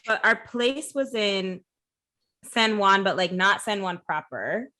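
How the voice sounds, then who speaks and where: A woman's voice: mid-range voice, animated, slow, pushed and bright. A woman chatting casually as a podcast co-host. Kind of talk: casual chat.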